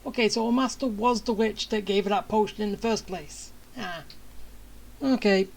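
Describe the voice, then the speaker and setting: A woman's voice: mid-range voice, moderate pace, vocal fry, a little mellow. A woman streamer giving casual gameplay commentary with dry, sarcastic asides.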